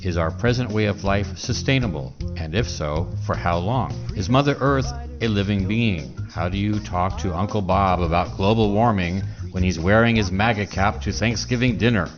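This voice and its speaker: Low-voiced man hosting a podcast. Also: normal speed, few pauses, muffled recording, over music. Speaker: podcast host. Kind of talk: podcast introduction and conversational interview.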